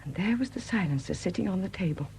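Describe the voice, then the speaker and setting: An actress in a 1970s British radio drama playing a widowed murderess who confesses in a hesitant, confiding manner. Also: female voice, mid-range voice, expressive, fast talker, vocal fry, darkish tone, slight background noise, poor audio. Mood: weary confession. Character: quiet confessing murderess, a Welsh sculptor's widow.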